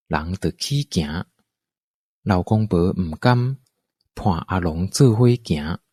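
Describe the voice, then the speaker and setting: A man narrates a scripted adventure tale with a Taiwanese accent. His voice is fairly low and husky, recorded dry and close. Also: a little mellow, dry recording.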